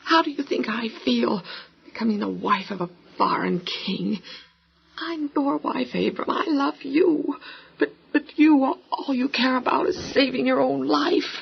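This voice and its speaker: An actress playing a proud, reproachful young wife of a patriarch in a Bible audio drama, speaking with breathy, formal emotion. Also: female voice, high-pitched, measured pace, heavily acted. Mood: hurt, reproachful. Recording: dull lo-fi radio drama recording.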